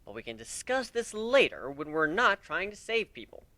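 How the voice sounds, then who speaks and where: A man voicing a gentle, loyal pony husband, quiet and soft-spoken, in a dramatic fan fiction reading. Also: high voice, big pitch swings, normal speed, dry recording, pitched above the performer's own voice, exaggerated voice. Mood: fond, resigned.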